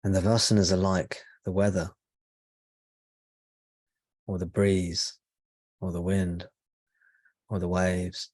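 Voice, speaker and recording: A man speaking as a spiritual teacher leading a guided meditation, in slow, measured short phrases with pauses between them. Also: deep voice, little pitch movement, a little mellow, no echo.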